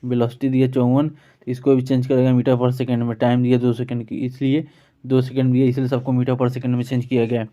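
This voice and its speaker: A man's voice: mid-range voice, monotone, fairly quick, dark tone. A man with an Indian accent giving an informal exam-prep physics lesson as a teacher, working through questions. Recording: dull, lo-fi sound.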